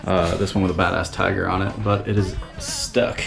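A man in his thirties vlogging casually from inside a damaged sailboat cabin, swearing freely and filling pauses with ums. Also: low voice, level tone, vocal fry, a bit bright, faint music behind. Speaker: sailing vlogger. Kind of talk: casual vlog narration. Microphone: handheld action camera close up.

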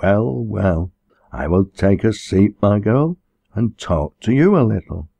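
A man's voice: lowish voice, expressive, takes it slowly, creaky, dark tone, dry recording, muffled recording, exaggerated voice. A man voicing a serene, self-assured parish minister in an audiobook, formal and sermonizing. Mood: serene, condescending. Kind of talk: audiobook character dialogue.

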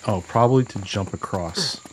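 Laid-back american-born guy streaming a game from home, chatting with chat, lowish voice, desk mic. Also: moderate pace, a little hiss or hum. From USA. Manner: relaxed, chatty, talks to chat. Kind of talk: casual gameplay commentary.

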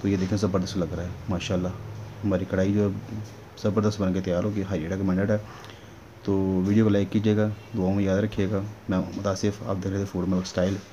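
Male home-cook host, low and mellow, instructional and informal, muffled lo-fi sound with background noise. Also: level tone, fast talker. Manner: instructional, repeatedly checks in with the listener. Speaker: home cooking channel host. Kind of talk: casual recipe voice-over. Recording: dull lo-fi sound with clear noise.

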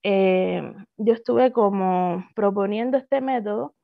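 A woman's voice: medium pitch, slow pace, gravelly, no echo. A woman in her thirties with a Cuban accent, presenting her doctoral thesis in a hesitant academic talk full of fillers and restarts, over a video call. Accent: Cuban Spanish. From Cuba. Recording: dry, phone-like video call audio.

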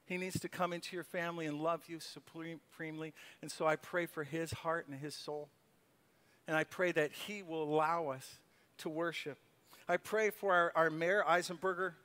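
A man's voice: a little high, pushed and bright. A middle-aged man, a pastor praying and preaching on a church stage, earnest and repeating his words as he presses a point. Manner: earnest, repeats words, rises to fervent emphasis. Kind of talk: sermon and prayer, then stage conversation. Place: church stage, large room. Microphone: handheld mic.

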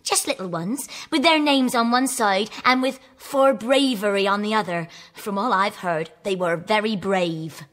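A woman playing a kindly, brisk housekeeper in a children's audio drama. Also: mid-range voice, a bit bright, lightly acted.

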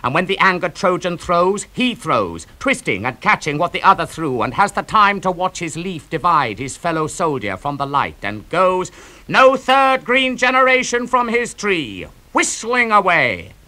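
A man reads epic poetry aloud for an audiobook with a theatrical delivery. His pitch rises and falls widely, dropping to a hush and then ringing out. The tone is bright and forceful. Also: very animated, moderate pace, dry recording. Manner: theatrical, swings from hushed to ringing. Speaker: audiobook reader. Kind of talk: dramatic reading of epic poetry.

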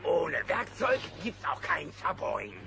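A man voicing a grumpy, hardworking bear handyman in a gruff, fretful, complaining manner for a German children's audio drama. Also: sits high, huge pitch swings, slow, exaggerated voice. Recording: noisy old cassette audio drama.

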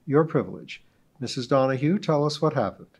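A man reading aloud and voicing a stern, patient judge who speaks in a measured, authoritative way. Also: medium pitch, lively intonation, darkish tone, slightly rough, voice pushed up. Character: stern weary male judge, dry and patient.

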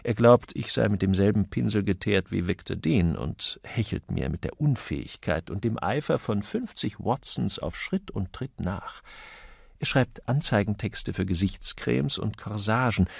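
A man's voice: on the low side, expressive, unhurried, gravelly, a little mellow, husky, no room sound, clean studio sound, heavily acted. A man voicing a witty, flippant upper-class amateur detective in an audiobook, chatty, wry and urbane. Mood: ironic, wry.

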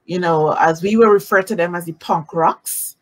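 A woman in her forties hosting a live-streamed interview from home over a video call, animated and greeting viewers by name. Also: on the low side, normal speed, faint music behind, low-quality recording. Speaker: talk show host. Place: home room, indoors. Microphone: video call webcam.

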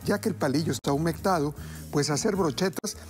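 A man's voice: a little high, fairly quick, a little mellow. Man, middle-aged, TV cooking host, folksy chatter, studio kitchen, lapel mic, music under. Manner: folksy, chatty, addresses viewers and grandmother. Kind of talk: cooking demonstration.

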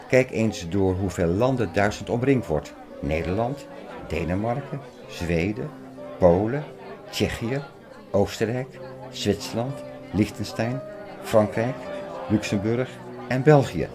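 Guy playing agitated conspiracy nut in an audio drama, deep voice, preachy and insistent, music underneath. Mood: fervent, prophetic. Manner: earnest, lecturing, insistent. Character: obsessive, agitated male conspiracy theorist expounding apocalyptic prophecies. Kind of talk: Dutch audio drama acting. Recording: music behind the voice.

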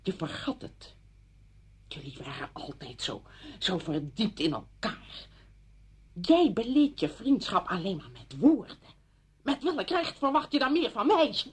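A woman's voice: mid-range voice, sing-song, unhurried, breathy edge, full character voice. An actress playing an agitated, protective woman friend in a Dutch radio play, with emphatic, emotionally heightened delivery. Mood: bitter reproach.

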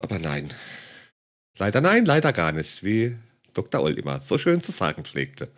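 A man's voice: low voice, wildly swooping pitch, normal speed. A man giving mocking, condescending commentary on a video clip in a sarcastic tone. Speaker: satirical commentator.

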